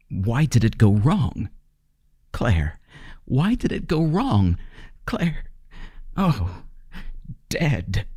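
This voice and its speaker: A man playing a brooding, domineering chemist haunted by guilt, speaking deliberately and repetitively in an old-time radio horror drama. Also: medium pitch, darkish tone, dry recording, studio quality, heavily acted.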